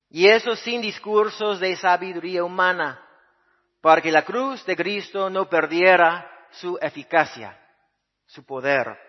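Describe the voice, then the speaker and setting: A man with a Spanish accent softened by Mexican speech preaches as a guest missionary pastor, his voice pitched a little high. He talks earnestly and conversationally, with many hesitations. The dull, muffled recording carries a long church-hall reverb. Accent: Spanish with Mexican Spanish influence. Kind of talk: sermon.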